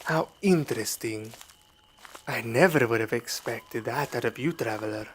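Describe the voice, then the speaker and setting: A man playing a suave, sinister vampire in an ASMR roleplay, speaking formally and courteously with quiet menace. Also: medium pitch, moderate pace, slight background noise, lightly acted. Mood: politely evasive. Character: suave, sinister male vampire luring a traveler. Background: night forest ambience.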